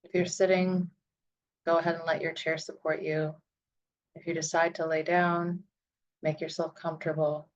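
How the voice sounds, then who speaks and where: A woman leading a guided visualization meditation, speaking in soothing, deliberate phrases with long pauses. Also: sits low, flat delivery, dull audio.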